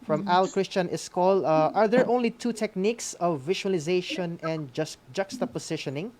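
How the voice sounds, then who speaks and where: A woman with a Filipino accent moderates a learning program in a fairly low voice. She speaks unscripted and haltingly, adding short tags as she passes questions along. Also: a little mellow. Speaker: radio school program host and moderator.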